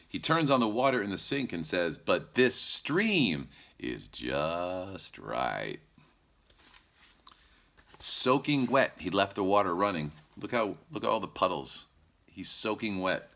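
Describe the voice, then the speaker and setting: Male storytime reader: low, forceful, lively, playful, speaking straight to children. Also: normal speed. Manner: playful, addresses young listeners directly. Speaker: news anchor reading a children's story. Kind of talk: animated storytime read-aloud with asides to children.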